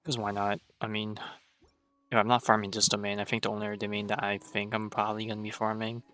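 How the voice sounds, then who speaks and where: Bright-voiced man casually streaming a game. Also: lowish voice, monotone, fast talker. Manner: rambling, thinking aloud. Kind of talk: casual live gameplay commentary. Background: faint game music.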